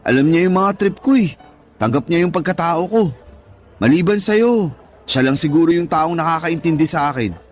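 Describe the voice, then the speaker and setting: Guy acting as lovestruck young man, radio drama, pretty high voice, sincere. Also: lively intonation, moderate pace, soft background music. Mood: earnest. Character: earnest lovestruck young man waiting for his online girlfriend.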